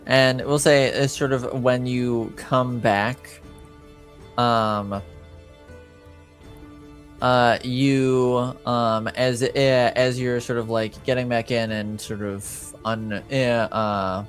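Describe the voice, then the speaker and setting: A man improvising narration as game master of a tabletop game, speaking hesitantly with many pauses. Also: mid-range voice, fairly even intonation, soft background music.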